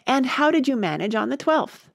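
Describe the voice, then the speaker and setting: A woman's voice: medium pitch, animated, normal speed, no echo, clean studio sound. A woman voicing a polite, curious, slightly timid little girl in a storybook. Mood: eager. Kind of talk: storybook character voice.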